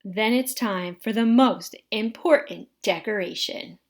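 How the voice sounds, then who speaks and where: A woman reading a children's picture book aloud in an animated, dramatic way, drawing out words for effect. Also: medium pitch. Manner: expressive, dramatic delivery with drawn-out words. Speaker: story reader.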